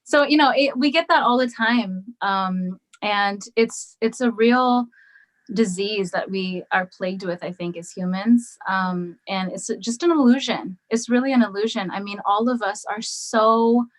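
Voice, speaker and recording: A woman guest on an interview podcast, talking casually and thoughtfully. She hesitates often, restarting her sentences, at an average pitch for a woman. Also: unhurried. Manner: reflective, hesitant, many ums and restarts. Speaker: guest musician.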